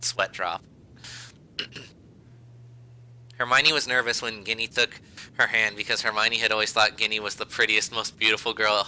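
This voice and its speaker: A man's voice: lowish voice, pushed and bright, poor audio. A man reading fan fiction aloud as a podcast host, amused and hamming up the emphasis. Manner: breaks into laughter while reading, hammy emphasis.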